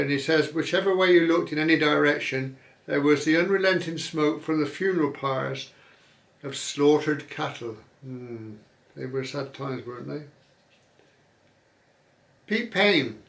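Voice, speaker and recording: A man hosting a vlog, reading viewer comments aloud and musing on them in an easygoing way, often pausing with "uh". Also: on the high side, creaky, a bit bright, echoey room. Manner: chatty, musing aloud, many "uh" fillers. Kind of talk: casual chat.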